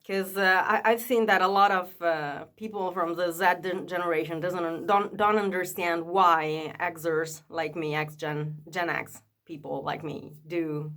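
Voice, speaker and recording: A woman, chatting casually to a camera held up high, with many fillers and restarts. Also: a bit bright. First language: Italian. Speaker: vlogger.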